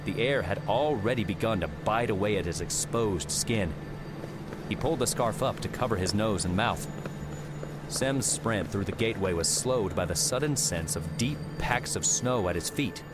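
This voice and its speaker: A man's voice: on the low side, expressive, moderate pace. A man reading scripted adventure story narration as an audiobook narrator. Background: background music.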